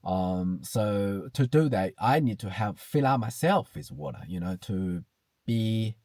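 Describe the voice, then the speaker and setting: A man's voice: on the low side, expressive, measured pace, gravelly, a little mellow, no room sound, clean studio sound. Hesitant Chinese-accented man in his thirties. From China. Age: 30s. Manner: hesitant, many fillers and restarts, repeats "you know". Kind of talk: reflective personal talk to camera.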